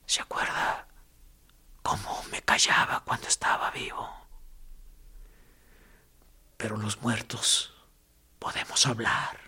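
Radio play: a man voicing a broken prisoner, raspy whisper, slow and gravelly, taunting his captor. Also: on the low side, little pitch movement, pushed and bright, exaggerated voice. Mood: eerie calm. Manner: hoarse whispering, sarcastic and morbid. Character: tortured male prisoner, defiant and mocking. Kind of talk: radio drama acting.

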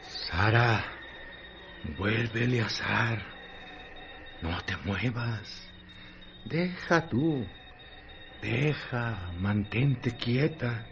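A man narrating a literary radio drama in a dramatic, measured manner. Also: medium pitch, noisy background.

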